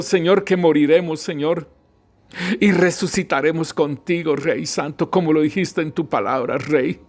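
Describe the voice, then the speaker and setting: A middle-aged man praying aloud with fervor, his voice sitting a little high. He speaks in short bursts, breaking each phrase with a repeated invocation to God. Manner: fervent, constantly repeating "Señor" and "Rey Santo" between phrases. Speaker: prayer leader. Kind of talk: spoken congregational prayer.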